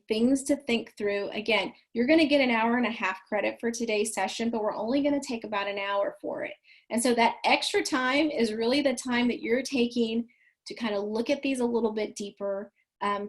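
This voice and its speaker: Woman trainer, a bit raspy, folksy and conversational on a video call. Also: medium pitch. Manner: chatty, folksy, frequent ums and restarts. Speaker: counselor trainer leading a webinar. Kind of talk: online training presentation.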